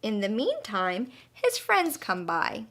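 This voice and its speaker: A woman's voice: mid-range voice, huge pitch swings, slow, a bit bright. A woman narrating a children's story expressively, speaking directly to young listeners as a storyteller. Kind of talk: animated scripted story narration.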